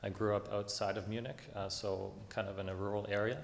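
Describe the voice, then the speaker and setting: A man's voice: lowish voice, flat delivery, brisk, creaky, a little hiss or hum. A young man from Germany speaking at a public meeting, earnest and hesitant with many fillers.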